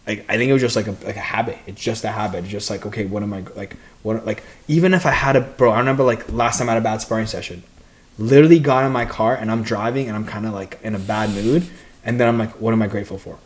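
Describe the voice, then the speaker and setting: A man's voice: on the low side, steady tone, fast. Laid-back young man, slang-heavy chat. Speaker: podcast co-host, fighter. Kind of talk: casual chat.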